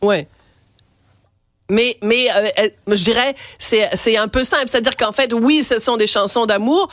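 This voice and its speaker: A woman's voice: a little high, a little slow, a bit bright, dry recording. A woman radio host with a Quebec French accent, chatty and playful, with a cold, interviewing a guest in the studio. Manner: chatty, playful, laughs often. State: has a cold.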